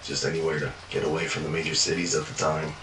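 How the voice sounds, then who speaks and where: Deep-voiced weary male narrator, echoey. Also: flat delivery, fast. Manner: weary, world-worn storytelling with frequent swearing. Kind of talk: first-person fiction journal narration. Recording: echoey room, distant mic, noisy.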